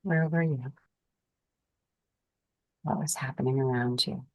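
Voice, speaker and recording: Very low-voiced woman guiding a meditation. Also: no echo. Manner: calm, soothing, unhurried phrasing with pauses. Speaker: meditation guide. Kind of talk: guided meditation, gentle spoken instruction.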